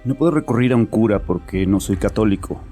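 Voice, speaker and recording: A man's voice: lowish voice, expressive, fast talker, lightly acted. An actor playing a bitter, anguished man in his late twenties confessing to a therapist in an audio drama, defensive and scornful. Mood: grim, insistent. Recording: music under the voice.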